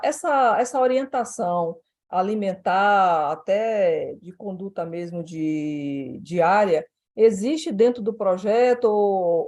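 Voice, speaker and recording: Brazilian female participant, casual conversational delivery, mid-range pitch, warm dark tone. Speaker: participant asking health questions.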